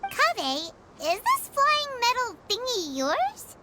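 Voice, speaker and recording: Squeaky, very high little fairy girl. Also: huge pitch swings, slow, heavy background noise, full character voice. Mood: curious, cheerful. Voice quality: squeaky, childlike. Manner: bubbly, speaks of self in third person. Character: tiny childlike floating fairy companion, chirpy, curious, blunt. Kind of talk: animated video game character acting.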